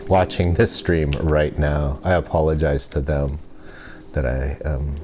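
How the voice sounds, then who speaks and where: Slow, deep-voiced stuffy man streaming. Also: lo-fi sound, a little hiss or hum. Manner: relaxed, rambling, self-deprecating. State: stuffy nose and throat. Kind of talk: casual gameplay commentary. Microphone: desk mic.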